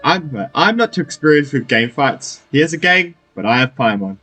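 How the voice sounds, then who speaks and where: A man commentating on a game in a loose, joking way, with a slightly raised, husky voice that swings lively up and down. Soft game music plays under his chatter. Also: on the high side, normal speed. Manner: chatty, jokey, reacts and laughs. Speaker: gamer playing through a story quest. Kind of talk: casual let's-play commentary. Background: faint game music.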